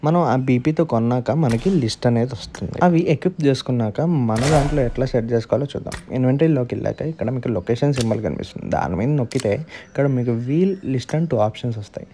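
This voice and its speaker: A man's voice: mid-range voice, lively intonation, fast talker, mellow, slight background noise. A man with a Telugu Indian accent narrating a tutorial as a gaming channel host.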